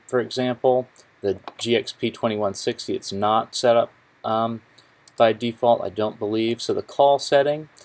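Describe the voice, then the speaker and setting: A young man presenting a casual tech tutorial in an informal, halting way, often correcting himself. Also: mid-range voice. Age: young adult. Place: small office cubicle indoors, then screen recording voice-over.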